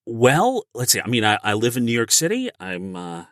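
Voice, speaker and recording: A middle-aged man, an actor appearing as a podcast guest, telling casual anecdotes with emphatic, animated phrasing. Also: mid-range voice, normal speed, no echo, studio quality.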